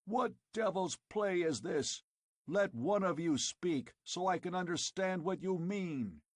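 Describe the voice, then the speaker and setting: A man's voice: sits high, lively intonation, unhurried, no echo, studio quality, voice pushed up, exaggerated voice. A man voicing a blunt, exasperated barbarian warrior who roars his words. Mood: roaring. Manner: bellowing, blunt.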